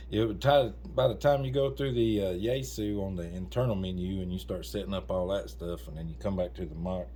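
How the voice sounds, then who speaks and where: A low, deep male voice rambles along in a folksy, casual way, like a radio hobbyist talking with friends. There is a faint layer of noise behind him. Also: moderate pace, warm tone. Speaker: amateur radio operator recording for a video channel. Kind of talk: casual chat over ham radio.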